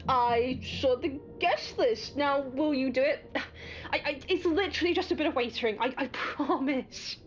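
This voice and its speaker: Scatty, excitable young friend voiced by a woman, high-pitched, stammering and wheedling; audio drama phone call, muffled with some noise. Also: normal speed, close to an everyday voice.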